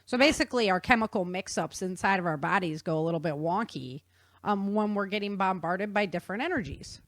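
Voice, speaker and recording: A woman's voice: mid-range voice, expressive, brisk, no echo. A woman presenting an informal explanatory science talk in a casual, conversational, slangy way. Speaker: author and presenter.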